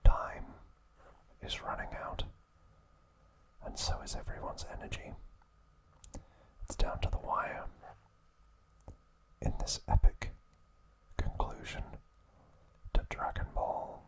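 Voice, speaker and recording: A man whispering an ASMR review at home, gentle and relaxed, with a soft unvoiced whisper. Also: takes it slowly, pauses often, low-quality recording. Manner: gentle, relaxed, with occasional fillers. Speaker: ASMR channel host. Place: indoors at home.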